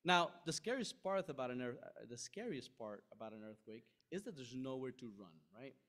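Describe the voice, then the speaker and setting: A young man from Costa Rica preaching a sermon from a podium, conversational and animated, acting out quoted voices, recorded in a large church hall. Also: mid-range voice, a little fast.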